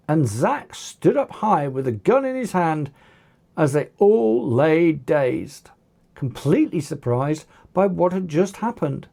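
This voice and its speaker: Audiobook narrator, dramatic storyteller, mellow tone with some gravel, unhurried delivery. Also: mid-range voice. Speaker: author reading own novel aloud.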